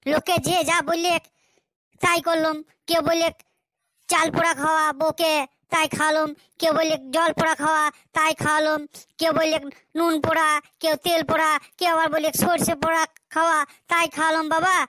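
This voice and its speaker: Cartoon village husband: very high, sharp voice, pleading and hapless. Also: monotone, brisk, no echo. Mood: puzzled complaint. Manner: whining, pleading, hesitant. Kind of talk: comic cartoon dubbing.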